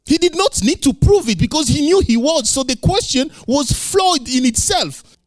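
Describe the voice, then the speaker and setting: A male pastor preaching in English, which is not his first language, building to loud emphatic peaks and repeating lines for effect. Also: very high-pitched, lively intonation, normal speed, no room sound, faint music behind. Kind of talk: sermon.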